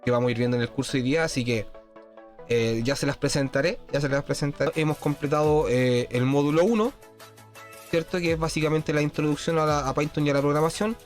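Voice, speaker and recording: A man's voice: a little high, quick pace, soft background music. A man, slightly congested with a cold, casually walking through quiz answers in a programming tutorial and peppering his talk with "¿cierto?". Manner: keeps asking "¿cierto?", many fillers and restarts. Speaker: programming course instructor.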